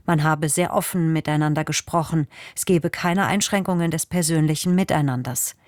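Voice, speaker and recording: A woman reading the news on the radio in a measured, formal way. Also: sits low, fairly even intonation, no echo. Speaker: newsreader. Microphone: studio microphone.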